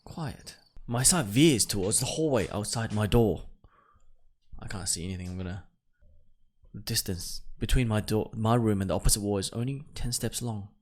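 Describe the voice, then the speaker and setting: A man doing let's-play commentary, reading game text aloud and reacting in a jumpy, nervous way. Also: on the low side. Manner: jumpy, exclaims nervously between read-out passages. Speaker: video game streamer.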